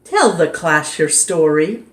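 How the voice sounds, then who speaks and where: Lady reading a kids picture book real slow and gentle, roomy echo around her. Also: low voice. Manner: slow, gentle, expressive read-aloud. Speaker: storytime reader.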